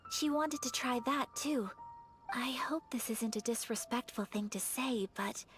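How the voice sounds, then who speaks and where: A woman playing a gentle, refined young noblewoman in a video game, speaking softly and politely in a measured, breathy way. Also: sits high. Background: music.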